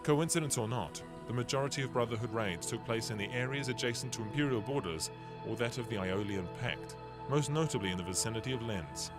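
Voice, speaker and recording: A man voicing a young male ship captain who narrates a game's story in an earnest, steady way, with game music behind. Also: medium pitch, natural voice.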